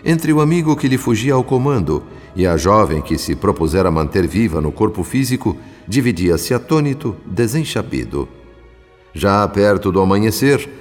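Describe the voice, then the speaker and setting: An actor playing a solemn, compassionate spirit mentor, narrating a radio drama in formal, measured phrases. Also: male voice, on the low side. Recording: long reverb, music underneath.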